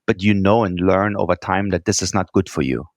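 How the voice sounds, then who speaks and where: German guy, low voice, earnest advice, keeps saying right?, dry mic. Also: fairly even intonation, fairly quick, dry recording. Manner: earnest, repeats words, frequent "right?" tags. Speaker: guest executive. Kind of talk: podcast interview answers.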